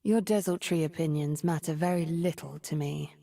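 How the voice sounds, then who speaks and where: A woman playing a cunning, haughty immortal sorceress in a video game, with a breathy, husky, deliberate and condescending delivery that often drops to a whisper. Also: sits low, warm tone. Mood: haughty, menacing. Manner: theatrical, deliberate, silky condescension. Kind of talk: video game character voice acting. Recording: music behind the voice.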